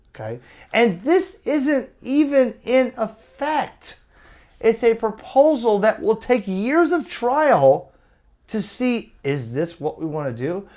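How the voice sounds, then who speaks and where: A man's voice: sits high, darkish tone. A man giving an opinionated, exasperated video rant to his viewers in a blunt, emphatic way. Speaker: ham radio YouTuber.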